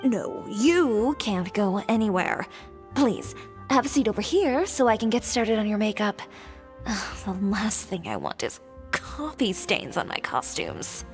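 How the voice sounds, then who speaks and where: Casting: particular, bossy young stylist in a video game, mid-pitched with swooping intonation. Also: female voice, sing-song, unhurried, long pauses, soft background music. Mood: fussy. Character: fussy, brisk, bossy young costume stylist.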